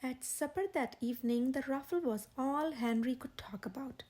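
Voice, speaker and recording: A woman reading a children's picture book aloud as a gentle, expressive storyteller. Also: high-pitched, moderate pace.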